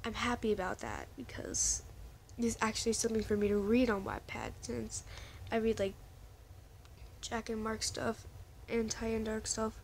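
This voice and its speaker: A woman's voice: a little high, little pitch movement, normal speed, gravelly, slight background noise. A woman casually chatting to her viewers in a rambling vlog, often restarting her sentences. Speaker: vlogger.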